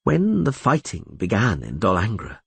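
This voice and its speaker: A man voicing a male bard who recounts grim news in an expressive, grave way in an audiobook. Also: on the low side, moderate pace, creaky, breathy edge, no room sound, full character voice. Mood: grave recounting.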